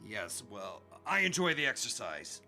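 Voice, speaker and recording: A man's voice: fairly low pitch, very animated, measured pace, creaky, pushed and bright, soft background music, exaggerated voice. An actor playing a vengeful male bandit chief in a radio drama, posing as a courteous merchant with formal, florid speech. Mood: falsely genial.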